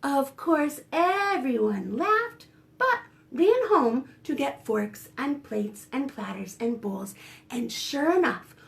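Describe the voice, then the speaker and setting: A woman with a high voice reads a picture book to preschoolers at a slow, careful pace. She is expressive, slipping in dramatic gasps and hushed asides, and speaks gently to her young listeners as a children's librarian would. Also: creaky.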